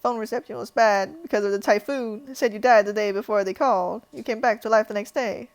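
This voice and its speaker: A woman voicing a frantic, disheveled middle-aged aunt who shouts and raves. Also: on the high side, a little fast, lightly acted. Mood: insistent. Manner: shouting, agitated.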